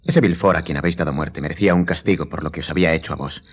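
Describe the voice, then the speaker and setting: An actor playing a grave, commanding nobleman in a radio drama, speaking in a solemn, measured, sententious way. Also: very deep voice, slightly rough. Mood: stern, judging. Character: grave, commanding count, calm and enigmatic nobleman. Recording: dull lo-fi sound, faint music behind.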